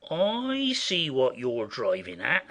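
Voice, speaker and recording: A foolish, stubborn manservant in a stage farce, smug about his own cleverness. The voice is bright and slow, with big swoops in pitch. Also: huge pitch swings, full character voice. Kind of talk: classic comedy audio play acting.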